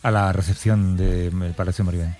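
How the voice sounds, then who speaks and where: A man's voice: low voice, steady tone, moderate pace, darkish tone, soft background music. A man hosting a radio talk show, teasing his guests in a conversational way. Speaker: radio host.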